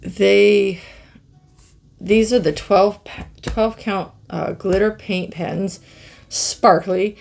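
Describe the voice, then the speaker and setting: A middle-aged woman with a hoarse, scratchy voice, chatting slowly to viewers as she reviews art pens and marvels at their colors. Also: mid-range voice, fairly even intonation, darkish tone. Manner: slow, musing, easily amazed, talks to viewers. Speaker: craft channel host. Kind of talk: casual product review chat.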